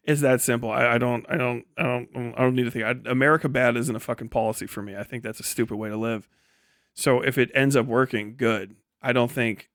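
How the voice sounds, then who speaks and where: Male stream host, mid-pitched, blunt and profane, off-the-cuff opinions, very little room sound. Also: no echo, clean studio sound. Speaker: livestream host reading and answering chat. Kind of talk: casual, unscripted livestream commentary.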